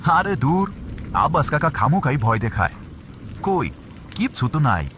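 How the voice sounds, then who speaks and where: A man's voice: fairly high pitch, sing-song, moderate pace, background noise. A man playing a brash but uneasy villager with a rural Bangladeshi accent in a horror cartoon. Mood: dismissive. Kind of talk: horror cartoon story acting.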